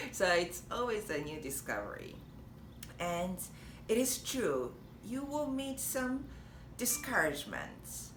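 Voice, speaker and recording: A young woman with a Japanese accent, chatting warmly and encouragingly to camera, laughing often. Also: mid-range voice, very animated, slow pace. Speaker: vlogger and language teacher. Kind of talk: casual encouraging talk to camera. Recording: echoey room with faint noise.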